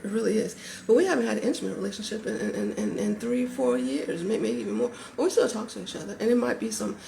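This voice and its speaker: A woman's voice: on the high side, gravelly, poor audio. A woman raised in Georgia with a Southern accent, chatting casually on her vlog and rambling with lots of restarts. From born in Maryland, raised in Georgia. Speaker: vlogger. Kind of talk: casual chat to camera. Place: visiting a home in California. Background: music playing in the background.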